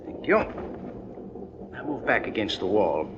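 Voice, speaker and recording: A man's voice: on the low side, very animated, measured pace. An actor playing a polite, menacing gunman in a 1950s radio thriller, speaking in a formal, precise, coldly courteous way. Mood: cold menace. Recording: muffled, noisy 1950s radio recording.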